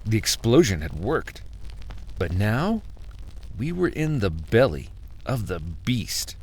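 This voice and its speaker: An actor playing a desperate husband, narrating a survival horror audio drama with intense, halting suspense. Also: male voice, on the low side, big pitch swings, slight background noise, full character voice, low-quality recording. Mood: weary, grave. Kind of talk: dramatic first-person horror narration and dialogue. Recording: music under the voice. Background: music.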